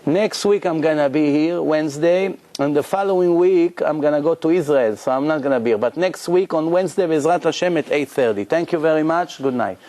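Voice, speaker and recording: A man, a rabbi, telling stories in a lively lecture to his congregation, acting out the people he quotes. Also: fairly high pitch, brisk, few pauses. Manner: animated, acts out quoted voices, frequent restarts.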